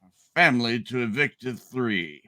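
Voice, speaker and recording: A man's voice: mid-range voice, lively intonation, normal speed, pushed and bright. A man voicing a cold, mean, rich old villain with a haughty, disdainful manner in an amateur radio play reading. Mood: disdainful, menacing.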